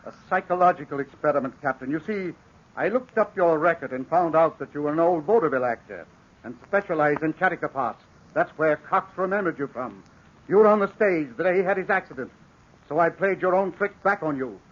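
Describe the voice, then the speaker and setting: A calm, shrewd professor. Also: male voice, on the high side. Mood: calm, assured. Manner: measured, composed, explanatory. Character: calm shrewd male professor who unmasks a killer. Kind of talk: 1940s radio acting. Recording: dull old radio broadcast recording.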